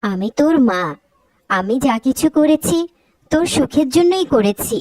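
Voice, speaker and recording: A woman's voice: high voice, lively intonation, unhurried, darkish tone, dry recording. A woman voicing an anxious, proud village mother in a moral-story cartoon, fretful and full of questions. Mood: worried questioning.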